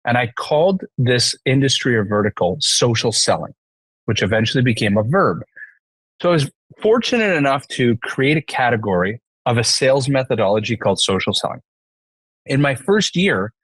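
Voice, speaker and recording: Podcast guest: male, forties, Canadian accent, mid pitch, conversational business storyteller, dry recording. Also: fairly bright tone, breathy edge, dry recording, poor audio. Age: 40s.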